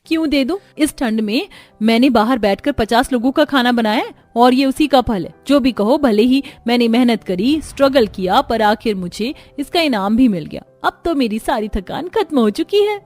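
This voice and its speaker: A woman voicing a proud, excited young daughter-in-law in a cartoon. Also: fairly high pitch, moderate pace, faint music behind. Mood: proud delight.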